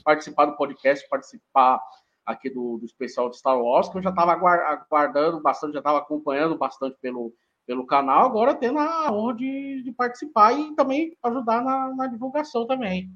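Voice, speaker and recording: A man chats casually as a podcast guest. He is playful and slightly halting. The sound is dull and lo-fi. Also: high-pitched, fairly bright tone. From Guarulhos, Brazil. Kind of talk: casual chat.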